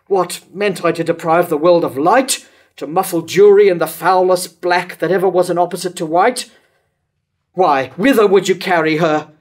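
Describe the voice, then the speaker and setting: Older guy acting a tormented jealous king, stage verse tragedy, really slow and kinda high for a man. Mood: agitated, commanding. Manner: declamatory, deliberate, dramatic pauses. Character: jealous, tormented king Herod, wrathful and lovesick. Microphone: microphone on stand at lectern.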